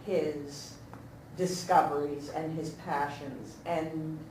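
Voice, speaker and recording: Female audience member, unhurried and hesitant, giving notes in a very echoey room, distant from the mic. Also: sits low, steady tone, darkish tone, slight background noise. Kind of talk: informal spoken critique at a talkback. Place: indoor room at a staged reading talkback.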